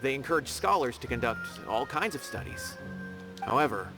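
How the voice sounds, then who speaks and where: An actor voicing a polite, gentle male scholar in a video game, courteous and explanatory. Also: mid-range voice, sing-song, moderate pace, rough recording. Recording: game music behind.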